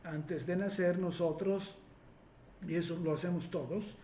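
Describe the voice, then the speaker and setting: A man from Italy speaking Spanish with an Italian accent, a regression therapist answering listener questions in a reflective, explanatory way with many pauses. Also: high voice, fairly even intonation, warm tone, reverberant.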